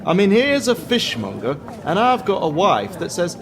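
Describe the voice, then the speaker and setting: Man acting a lively, high-voiced Scottish fishmonger. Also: normal speed, creaky, noisy background, heavily acted. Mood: jovial banter. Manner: jokey, salesman-like banter. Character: cheery, joking male Scottish fishmonger, eager to chat. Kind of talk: radio play monologue. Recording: busy street bustle behind voice.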